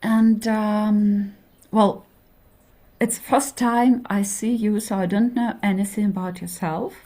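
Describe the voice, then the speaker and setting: Slow, hesitant woman lecturing. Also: medium pitch, steady tone, dark tone. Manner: hesitant, many fillers, checks in with okay. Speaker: linguistics lecturer. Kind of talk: introductory university lecture.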